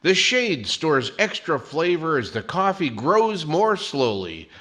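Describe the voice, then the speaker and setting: Male announcer, 1940s radio commercial style, forceful bright tone with very lively pitch. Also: takes it slowly. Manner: polished sales pitch.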